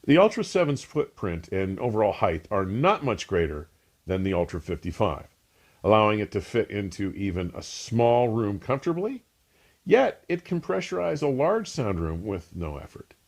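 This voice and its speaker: A man's voice: fairly low pitch, big pitch swings, moderate pace, a little mellow. A man hosting a scripted audio gear review, speaking in an emphatic, polished, enthusiastic way. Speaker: audio equipment reviewer and show host. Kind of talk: scripted product review narration.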